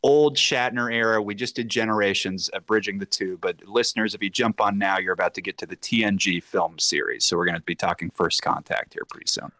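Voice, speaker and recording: A man's voice: fairly low pitch, fairly quick, hardly any pauses, bright, forceful tone, no echo. A man chatting casually as a podcast guest, with a wry, jokey way of talking. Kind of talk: casual chat.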